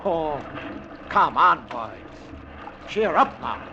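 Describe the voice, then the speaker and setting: An actor playing a hopeful, reassuring captain of a lifeboat in an old radio drama, earnest and commanding. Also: male voice, on the high side, very animated, drawn-out words, creaky, muffled recording, very noisy, full character voice. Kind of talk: 1940s-50s radio acting.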